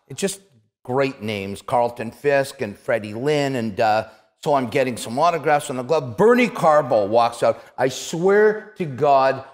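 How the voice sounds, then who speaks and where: Canadian man telling stories in a reverberant room. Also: medium pitch. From Toronto, Canada. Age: 50s. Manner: animated, emphatic, repeats words for emphasis. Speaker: interview show host, retired athlete. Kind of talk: casual conversational storytelling. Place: small office-like studio set, indoors. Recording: echoey room with long reverb.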